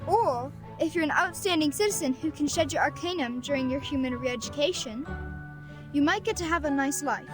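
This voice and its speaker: A woman's voice: high-pitched, brisk, music in the background, natural voice. A woman voicing a sassy, streetwise boy who mocks the rules with a sly, cynical delivery in a game. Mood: mocking. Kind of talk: game voice acting.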